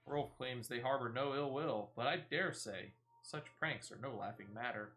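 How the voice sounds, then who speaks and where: A man's voice: medium pitch, moderate pace, bright, forceful tone, rough recording. A fussy, indignant villager, fed up with the pranksters around them, read aloud by a man. They speak in a huffy, complaining tone in a fantasy game. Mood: exasperated. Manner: exasperated, huffy.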